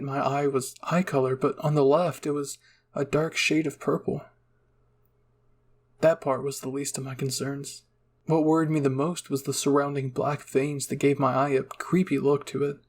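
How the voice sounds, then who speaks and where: A man narrating first-person fan fiction as a roleplay reading, in a soft, dramatic storytelling manner. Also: mid-range voice.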